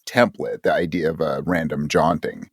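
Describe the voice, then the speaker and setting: A man's voice: a bass voice, moderate pace, slightly rough, no echo, clean studio sound. A man giving thoughtful, reflective answers as an interview guest, searching for his words as he explains. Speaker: podcast guest, audio drama creator.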